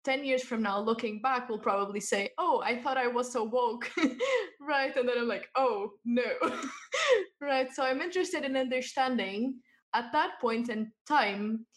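Woman host asking reflective questions, keeps saying right?, voice a bit high. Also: animated, a little slow. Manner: thoughtful, tags questions with "right?". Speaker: interviewer. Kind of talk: casual reflective interview.

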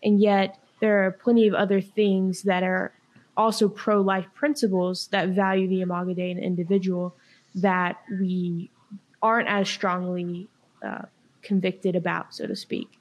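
Young woman raised in China, earnest explainer, podcast guest; plain even delivery. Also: mid-range voice, normal speed, low-quality recording. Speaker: podcast guest, workshop leader. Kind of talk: podcast discussion.

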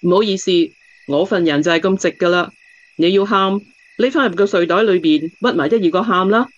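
A woman's voice: mid-range voice, fairly quick, close to an everyday voice. An actress plays a cool young woman with a Hong Kong accent and no patience for nonsense. She teases bluntly in radio-drama style. The sound is a little muffled. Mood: mocking, blunt. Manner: blunt, sharp-tongued, teasing. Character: blunt, aloof young woman stargazer called "big sister". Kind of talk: Cantonese radio drama acting.

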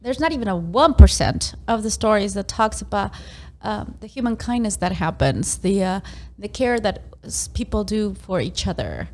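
A woman's voice: mid-range voice, very animated, moderate pace, hardly any pauses, slight background noise. A young adult woman from Argentina and Ecuador, chatting casually as a guest, laughing often and filling gaps with ums. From half Argentinian, half Ecuadorian. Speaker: interview guest. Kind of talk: casual chat.

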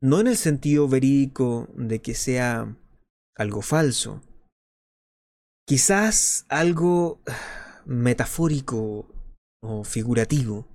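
A man playing a conspiratorial, musing pirate radio host in a radio drama, delivering theatrical monologues full of dramatic pauses. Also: mid-range voice, a little mellow. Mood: hesitant, pondering.

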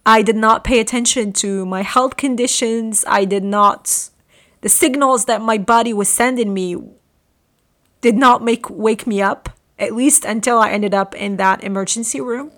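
A woman from Morocco in her late twenties hosting a podcast, telling a personal story in an earnest, halting way with frequent restarts. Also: on the high side, a bit bright. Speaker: podcast host. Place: room at home.

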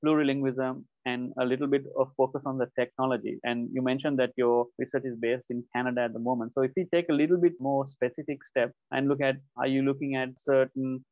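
A man from South Asia hosting an academic interview, affirming the guest and asking long, measured questions. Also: mid-range voice, no room sound. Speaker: podcast host. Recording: dry tinny phone-like line.

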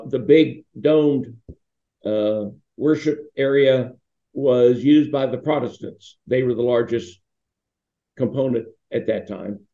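Mellow, muffled older American man, roomy echo. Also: medium pitch. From Pittsburgh, United States. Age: 60s. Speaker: interview guest, foundation founder. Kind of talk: reminiscing in a conversational interview. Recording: dull, roomy, echoing sound.

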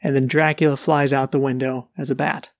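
A man's voice: mid-range voice, little pitch movement, brisk, no echo, studio quality. A man co-hosting a casual book podcast, retelling a story's plot in plain, easygoing words. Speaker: podcast co-host.

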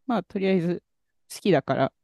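A man co-hosting a podcast, telling a story in a relaxed, rambling way with many fillers. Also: high voice, fast talker, vocal fry, dry recording, studio quality. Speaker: podcast co-host. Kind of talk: casual chat about music.

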